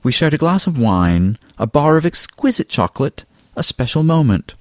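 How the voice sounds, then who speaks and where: A man's voice: fairly high pitch, big pitch swings, normal speed, dry recording, clean studio sound, pitched above the performer's own voice. A man voicing a vain, flirtatious, theatrical male weasel in an audiobook, haughty and florid in manner. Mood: smug, airy. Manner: haughty, florid, wordy, drawling endearments. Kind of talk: audiobook character dialogue.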